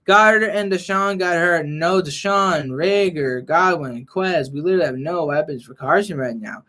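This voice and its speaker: A young man ranting as a frustrated sports fan vlogger, hoarse and losing his voice, reading and answering chat. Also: a little high, moderate pace, pushed and bright. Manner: frustrated, heated rant.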